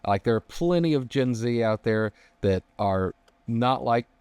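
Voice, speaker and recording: A man's voice: medium pitch, expressive, measured pace, a little mellow, clean tone, no echo. A man co-hosting a casual discussion, carefully and somewhat tentatively qualifying his points. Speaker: podcast co-host.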